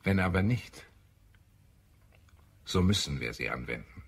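Ein Schauspieler spielt in einem deutschen Hörspiel einen ernsten, idealistischen Marsianer, der getragen und deklamierend spricht. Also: male voice, sits low, expressive, measured pace, breathy edge, exaggerated voice. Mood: idealistic, resolute. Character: earnest, idealistic Martian man, visionary and grave. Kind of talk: German radio play acting.

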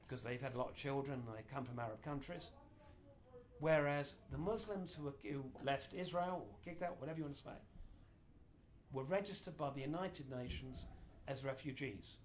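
Middle-aged man, informal lecturer, animated delivery, mid-range pitch, small room. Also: moderate pace, slight background noise. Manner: animated, gesturing broadly while explaining. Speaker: speaker giving a history talk. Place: small indoor meeting room.

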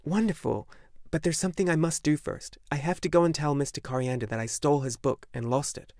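A man voicing an earnest young boy in an audiobook, eager and sometimes halting. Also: fairly high pitch, a little mellow, no room sound, studio quality, voice pushed up, close to an everyday voice. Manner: eager, halting when unsure. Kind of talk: audiobook character voice.

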